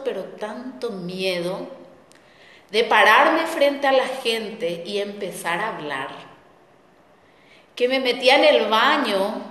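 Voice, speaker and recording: A middle-aged woman telling a personal story as a spiritual talk host, reflective and repeating words for weight. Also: mid-range voice. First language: Spanish. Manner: reflective, warm storytelling with repetitions. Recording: echoey room with long reverb.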